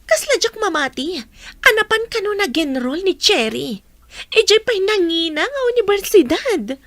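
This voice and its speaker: A woman's voice: extremely high pitch, big pitch swings, moderate pace, fairly bright tone, no room sound, heavily acted. A woman playing a whiny, pleading young village daughter in an Ilocano comedy radio drama, with a Filipino accent. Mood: gossipy, insistent.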